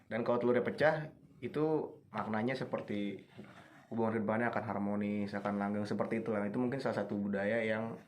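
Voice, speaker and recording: A young man, a student guest on a radio talk show, explaining things in a relaxed, storytelling way. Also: on the low side, fast, slight background noise.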